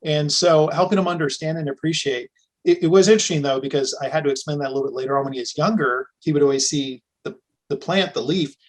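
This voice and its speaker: Middle-aged male podcast guest, a touch higher pitch, relaxed storyteller. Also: fast talker. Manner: chatty storytelling, with restarts. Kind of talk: casual conversation.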